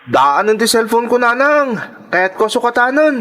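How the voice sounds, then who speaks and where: A man's voice: very high-pitched, big pitch swings, moderate pace, bright, forceful tone, full character voice. A man playing a spoiled, demanding teenage schoolboy in a radio drama, whiny and insistent, with an Ilocano accent. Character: spoiled, demanding teenage high school boy pestering for gifts.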